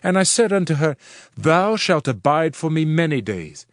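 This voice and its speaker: A man playing a solemn Old Testament prophet, reciting scripture in a measured, declamatory way. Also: on the high side, big pitch swings, no echo, studio quality. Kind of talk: dramatized King James scripture narration. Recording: music under the voice. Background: music.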